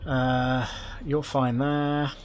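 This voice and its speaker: A hoarse man, thinking aloud as he plays through a strategy game, hesitant and full of ums. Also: mid-range voice, level tone, extremely slow, slight background noise. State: hoarse from being unwell. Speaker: gameplay commentator. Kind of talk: casual let's-play commentary. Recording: music playing behind the voice.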